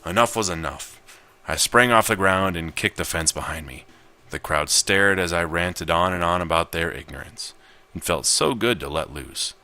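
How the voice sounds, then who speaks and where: A man's voice: low-pitched, moderate pace, bright, forceful tone. A man reading a visual novel aloud as he plays it, with casual commentary. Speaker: gamer narrating his gameplay.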